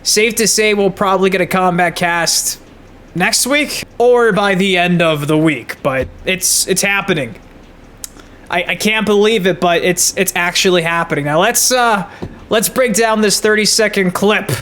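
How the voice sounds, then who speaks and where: A man's voice: high voice, unhurried, fairly bright tone. A man giving casual, hyped gaming news commentary as a channel host, slangy and emphatic.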